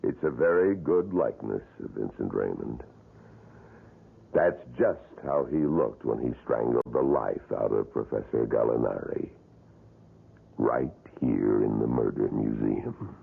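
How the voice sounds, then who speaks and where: An actor playing a grave murder museum guide in a radio drama, with a gravelly, husky voice and a measured, matter-of-fact manner. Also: male voice, extremely low pitch, heavily acted. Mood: grave, ominous. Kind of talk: old-time radio drama acting. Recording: thin, phone-like old radio recording.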